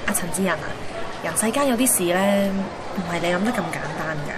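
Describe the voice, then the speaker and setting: A woman's voice: lowish voice, lively intonation, quick pace, a loud crowd, heavy background noise, lightly acted. A woman playing a young, guarded and wry Hong Kong working woman in a Cantonese radio drama, reflective and slipping in English words. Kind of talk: radio drama narration and dialogue. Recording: background music under the voice.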